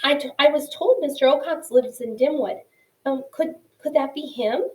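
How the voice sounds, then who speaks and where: A woman's voice: high voice, moderate pace, reverberant, far from the mic, voice pushed up, close to an everyday voice, rough recording. A woman voicing a small, timid young female mouse who stammers nervously and pleads.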